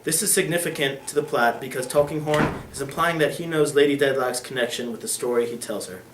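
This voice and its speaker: A man's voice: medium pitch, a little fast, far from the mic, background noise. A teenage boy giving an earnest dramatic reading of a classic novel, with occasional slips. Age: late teens. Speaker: student reader. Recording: echoey room.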